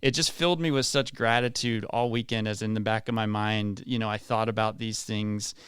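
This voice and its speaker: A man's voice: on the low side, fairly even intonation, brisk, fairly bright tone, smooth, no room sound, clean studio sound. A man around forty from West Virginia, a community leader speaking earnestly and effusively as a guest in a radio interview. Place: radio studio.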